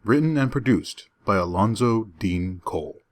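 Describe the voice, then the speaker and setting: A man's voice: fairly low pitch, animated, moderate pace, a little hiss or hum. A man announcing a program in an old-time radio style.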